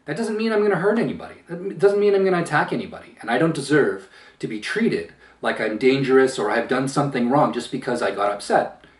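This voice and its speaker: A man with a powerful, mid-pitched voice speaks his mind in a measured monologue, slowing down to lean on key words. His voice grows loud and forceful as he presses a point. It rings in a roomy space, picked up from some distance away from the mic. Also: animated. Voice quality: powerful voice that gets loud when upset. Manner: emphatic, slow deliberate phrasing with pauses. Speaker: channel host. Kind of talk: opinion commentary monologue.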